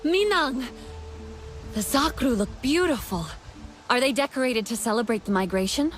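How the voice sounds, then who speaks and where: A woman's voice: on the high side, animated, normal speed, soft background music, natural voice. Earnest young alien heroine played by a woman. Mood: curious, friendly. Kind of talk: video game dialogue acting.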